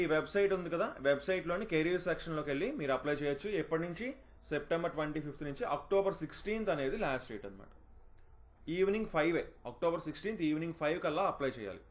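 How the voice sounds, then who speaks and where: A man talks quickly in a fairly high-pitched voice. He explains things informally and instructively, slipping in English terms. He often checks in with the listener as he goes. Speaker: job notification presenter.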